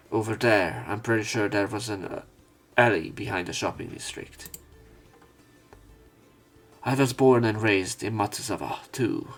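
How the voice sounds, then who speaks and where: Low-voiced man narrating a visual novel. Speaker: let's play narrator. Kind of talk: reading visual novel script aloud with commentary. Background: background music.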